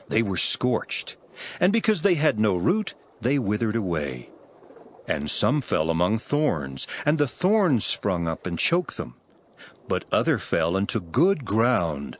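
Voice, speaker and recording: An animated, solemn scripture preacher. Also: male voice, lowish voice, slight background noise, exaggerated voice. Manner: solemn, declamatory, measured phrasing. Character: Jesus, male preacher teaching crowds in earnest parables. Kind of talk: dramatized King James scripture reading. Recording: loud music bed under the voice.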